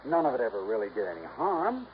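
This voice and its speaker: An American actor playing a glib, defensive, high-spirited young twin in a 1950s radio drama. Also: male voice, wildly swooping pitch, fast talker. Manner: glib, protesting, insistent. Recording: dull old radio recording, faint noise.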